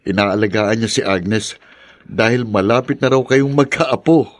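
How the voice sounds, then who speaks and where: A man's voice: fairly low pitch, measured pace, close to an everyday voice. A man playing a polite, helpful young friend in a radio drama, respectful and reassuring. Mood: surprised, courteous. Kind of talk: Tagalog radio drama acting.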